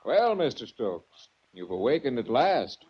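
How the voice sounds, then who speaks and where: A man's voice: medium pitch, big pitch swings, measured pace, a little mellow, a little hiss or hum. A man acting a stern, condescending sanitarium official in a 1940s radio drama, clipped and commanding. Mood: coolly patronising. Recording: muffled 1940s radio recording.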